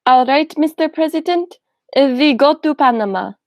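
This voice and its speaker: Dreamy, timid male crook's sidekick, acted by a young woman: amateur radio play, high voice, unhurried drawl, dry close sound. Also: animated, dry recording. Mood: hesitant. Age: young adult.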